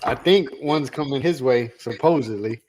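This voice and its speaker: A man co-hosting a podcast in casual chat, talking in an animated way with halting restarts. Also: medium pitch. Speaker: podcast co-host. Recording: distant mic with noise.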